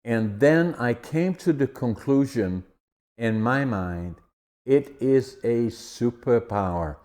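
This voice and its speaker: Older Dutch-accented man, dark voice. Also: medium pitch, expressive, takes it slowly. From Netherlands. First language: Dutch dialect. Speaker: guest. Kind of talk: conversational interview.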